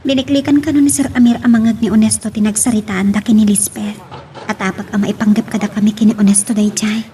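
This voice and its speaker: A woman's voice: medium pitch, fairly even intonation, fast talker, mellow, natural voice. A woman playing a troubled, earnest daughter pleading with her father in an Ilocano audio drama, with an Ilocano accent. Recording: clear background hiss and noise.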